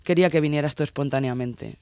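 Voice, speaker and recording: A man's voice: fairly high pitch, quick pace, darkish tone, clear, resonant tone, close to an everyday voice. A man playing an intense, possessive sailor woman of twenty-six in an audio drama, speaking in an insistent, teasing way. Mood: earnest, intimate. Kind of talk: dramatised audio story dialogue.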